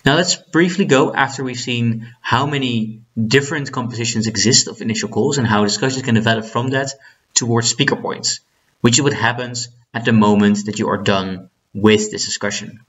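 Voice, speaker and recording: A man in his twenties from the Netherlands with a husky voice, giving an instructional talk as a debate trainer, stressing his key points. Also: mid-range voice, moderate pace, a bit bright. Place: small plain room indoors. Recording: dull lo-fi webcam sound.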